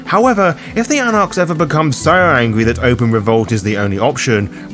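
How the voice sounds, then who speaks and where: A man reading a scripted guide as narrator, with a theatrical, arch delivery. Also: fairly high pitch. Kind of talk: scripted video essay narration. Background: background music.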